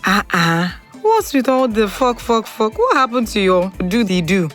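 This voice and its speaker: A woman's voice: medium pitch, sing-song, measured pace, a bit bright, long reverb tail, faint music behind. An actress with a Nigerian accent playing a guarded, sarcastic young woman in an audio drama, with a wry, incredulous manner. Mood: wry, sceptical. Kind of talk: audio drama dialogue and inner monologue.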